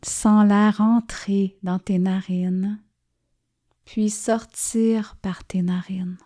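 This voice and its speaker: A woman leads a guided relaxation as a yoga nidra teacher. Her voice is mellow and warm, at an ordinary pitch for a woman. She speaks very slowly and softly, leaving gentle pauses between soothing phrases. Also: flat delivery. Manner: soft, soothing, gently pausing. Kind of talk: guided relaxation meditation narration.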